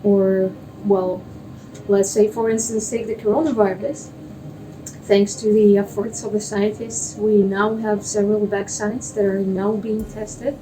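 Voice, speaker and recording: A young woman from Russia whose first language is Russian explains things as an interview guest. Her voice is mellow and of medium pitch. She sounds a bit far from the microphone, with faint music behind her. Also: level tone, a little slow. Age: young adult. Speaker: guest, biomedical researcher. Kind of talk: explanatory interview answers for a lay audience.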